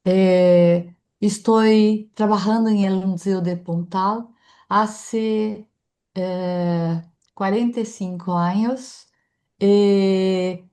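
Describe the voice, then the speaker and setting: Older brazilian lady, slow halting spanish with a portuguese accent, lots of ehs. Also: mid-range voice, dark tone. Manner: hesitant, with frequent filler sounds. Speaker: anthropologist and museum director. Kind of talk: interview answer in halting mixed Spanish-Portuguese.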